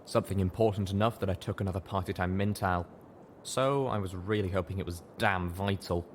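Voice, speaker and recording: A man's voice: sits low, a little fast, a little hiss or hum. A man reading a first-person story as scripted audiobook narration. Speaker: audiobook reader.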